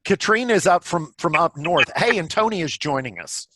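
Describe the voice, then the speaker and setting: A man's voice: fairly high pitch, expressive, moderate pace, sharp tone. A man hosting a table read on a video call in a casual, chatty way. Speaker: host and director of a virtual table read. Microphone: video call microphone.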